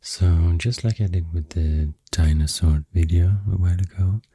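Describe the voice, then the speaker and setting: Very deep, breathy Swedish man whispering softly. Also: fairly even intonation, no room sound, clean studio sound. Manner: gentle, soothing, unhurried. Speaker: ASMR video host. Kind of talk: soft-spoken ASMR rambling. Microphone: close microphone.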